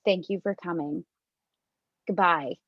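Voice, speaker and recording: A woman acting a flustered, wounded woman with a cold in an amateur read-through of a romantic comedy, halting and hesitant. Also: lowish voice. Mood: awkward, regretful. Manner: halting, deliberate, with hesitant restarts. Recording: dull, roomy home recording.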